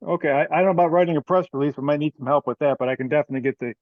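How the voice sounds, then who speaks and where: A man's voice: fairly high pitch, very fast, dry recording. A man chairing a casual online meeting over a conference call. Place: teleconference. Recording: dull, dry, band-limited conference call.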